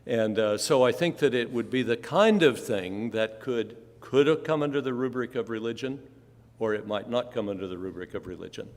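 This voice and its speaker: Male moderator, halting and filler-heavy, long church reverb. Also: mid-range voice. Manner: hesitant, lots of fillers. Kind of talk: panel moderation. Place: church sanctuary.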